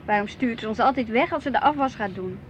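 A woman's voice: fairly high pitch, animated, quick pace, a little hiss or hum, lightly acted. An actress playing a fussy, indecisive, easily embarrassed woman in a naturalistic radio play. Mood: chatty, fussy. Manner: hesitant, mildly complaining, chiding.

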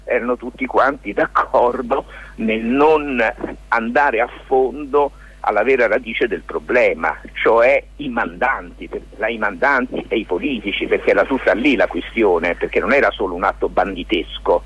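A man giving a spontaneous radio interview as a guest, speaking haltingly and often circling back to check he is understood. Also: fairly low pitch, a bit bright, husky, dull audio. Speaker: lawyer and stage author, interview guest.

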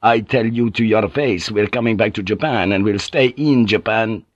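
A man reading an audiobook and voicing a hostile Spanish friar with a rasping, zealous, declamatory manner. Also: on the low side, moderate pace, no echo, dull audio, close to an everyday voice.

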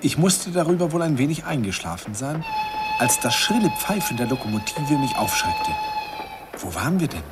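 A man's voice: a little high, normal speed. An actor playing a wistful man narrating his youthful romance in a radio play, lyrical in manner. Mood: excited, urgent. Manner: lyrical, animated storytelling. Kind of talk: dramatic first-person radio play narration. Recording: slightly noisy old radio recording.

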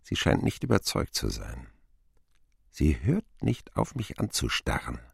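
An actor playing a grown man who recalls his wounded boyhood in a radio play, reflective and dryly ironic, with a gravelly, husky voice. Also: very deep voice, wildly swooping pitch, unhurried, no room sound, studio quality, heavily acted. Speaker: narrator.

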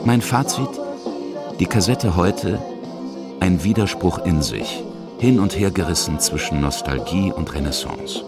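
A man's voice: sits low, animated, unhurried. A man narrating a scripted radio feature. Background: music.

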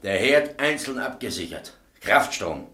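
A man's voice: medium pitch, big pitch swings, unhurried, sharp tone. A man playing a matter-of-fact electrician in a radio crime drama, explaining things precisely in short emphatic statements. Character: matter-of-fact male electrician and shop owner, master of apprentices.

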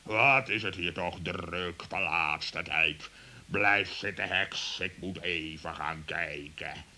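A very deep, slow and forceful polar bear, working as a customs officer in a children's radio play. He is gruff, stern and blunt. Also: slight background noise, exaggerated voice. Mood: gruff, officious.

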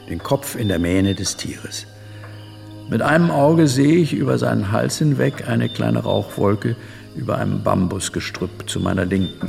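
A man's voice: lowish voice, gravelly, in a large hall, heavily acted. An actor playing an older, reflective adventurer and butterfly collector in a radio drama, musing and telling his tale dramatically. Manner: musing, dramatic storytelling with pauses and interjections. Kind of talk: radio drama monologue, storytelling.

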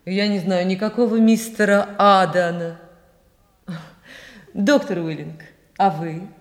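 An actress playing a proud, weary, wealthy widow in a radio play, languid and dramatic in manner. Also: female voice, mid-range voice, fairly even intonation, takes it slowly. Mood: weary, haughty. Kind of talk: Russian radio play acting.

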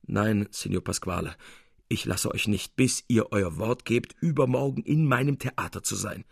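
A man voicing an ageing, flattering theatre owner in a story reading, pleading with theatrical humility in a husky voice. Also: fairly low pitch, moderate pace, dry recording, studio quality. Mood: insistent pleading. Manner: pleading, theatrically humble, ingratiating. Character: flattering, scheming theatre owner, somewhat elderly.

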